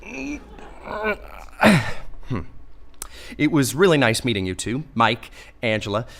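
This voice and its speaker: A man's voice: mid-range voice, wildly swooping pitch, moderate pace, vocal fry, fairly bright tone, exaggerated voice. A man playing a mild, earnest, naively optimistic friar saint, speaking politely and reasonably in a comic audio play. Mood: politely leaving.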